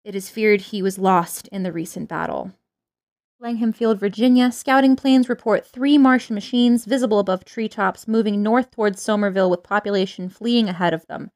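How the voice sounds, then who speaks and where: A woman's voice: medium pitch, darkish tone, lightly acted. Woman playing a grave radio news announcer. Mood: urgent, formal. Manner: formal, measured, serious delivery. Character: grave female radio news announcer reading urgent invasion bulletins. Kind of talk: radio drama news bulletin.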